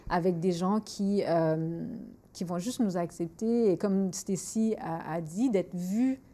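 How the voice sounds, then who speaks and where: A woman's voice: fairly low pitch, slow. A woman in her forties from Montreal with a Montreal French accent, hosting a podcast and telling candid stories while switching freely between French and English. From Montreal, Canada. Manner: lively, switches freely between French and English, repeats words when thinking. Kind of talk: candid conversational storytelling.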